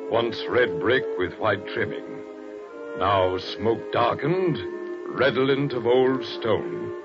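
A man narrating a 1940s radio drama, slow, measured and atmospheric. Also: on the low side, expressive. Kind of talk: scripted narration. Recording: dull old radio broadcast with music.

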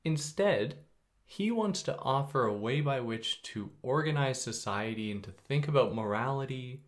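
Soothing-voiced man explaining philosophy casually. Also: moderate pace. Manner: relaxed, conversational, lively pitch jumps. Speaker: podcast host.

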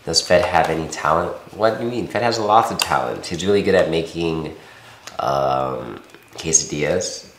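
A young man talking casually to camera in a small room, halting and pausing to think. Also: low-pitched, expressive, fairly bright tone. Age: young adult. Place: small dim office room. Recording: roomy echo, faint noise.

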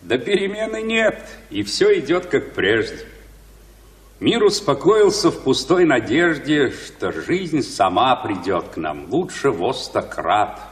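An actor playing a grave, wary village shepherd in a radio play, speaking in a measured, poetic, theatrical way. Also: male voice, mid-range voice, very animated. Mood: solemn. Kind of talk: classic Russian radio play acting. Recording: distant, very reverberant radio drama with music.

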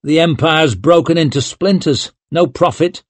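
A man's voice: on the high side, moderate pace, no room sound, voice pushed up, lightly acted. A man voicing a big, easygoing, teasing northern warrior in an audiobook. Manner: muttering, teasing.

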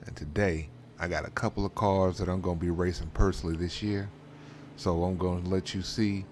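A man hosting casual game role-play commentary in a slangy, rambling way, leaning on conversational tag phrases. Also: deep voice. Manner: slangy, rambling, frequent "you know what I'm saying". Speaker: host of a drag racing role-play channel. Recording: clear background noise.

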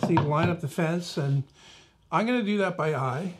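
A man's voice: on the high side, moderate pace, very noisy. A middle-aged man hosting a woodworking lesson, explaining casually as he works at the saw in his shop. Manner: relaxed, explanatory, talks while working. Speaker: woodworking channel host. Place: small woodworking shop indoors.

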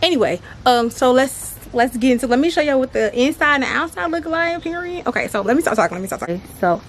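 A woman's voice: high voice, animated, fast, noisy background. Bubbly, chatty young woman vlogger. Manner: bubbly, chatty, swears freely. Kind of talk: excited casual vlog. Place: small home bathroom, then outdoors by a car. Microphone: phone camera at arm's length.

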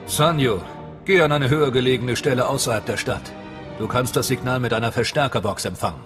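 An actor playing a matter-of-fact, wary male resistance fighter in a video game, speaking in a measured way. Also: mid-range voice, music in the background, natural voice. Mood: businesslike. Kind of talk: dramatic video game acting.

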